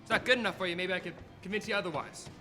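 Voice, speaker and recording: A man's voice: high voice, rapid-fire, sharp tone, faint music behind. A young man playing a surly, no-nonsense tavern bartender in a staged radio play, brusque in manner. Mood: gruff, impatient. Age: teens to young adult. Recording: echoey hall.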